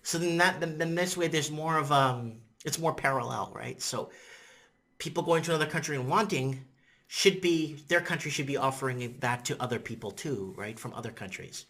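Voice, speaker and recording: A man in his thirties whose first language is Portuguese, talking casually to a webcam in a rambling, animated way with lots of repetition and "you know what I'm saying?". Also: fairly high pitch, moderate pace. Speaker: vlogger. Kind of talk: casual opinion vlog to camera. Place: indoors in front of a green-screen city backdrop.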